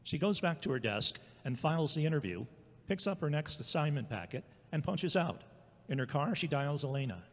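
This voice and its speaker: A man's voice: fairly high pitch, sing-song, a little fast. A man reading scripted scene narration for an audio drama. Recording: echoey hall-like reverb.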